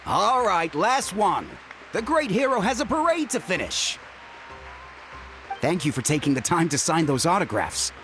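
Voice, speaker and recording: Young organizer, high voice, very animated, polite announcing, orderly, game acting. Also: male voice, measured pace, soft background music, heavily acted. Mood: businesslike. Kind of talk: video game cutscene acting.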